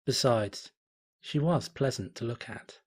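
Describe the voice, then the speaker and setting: A man narrates a fan fiction story in a measured, deliberate way. His voice is husky and sits at a middle pitch.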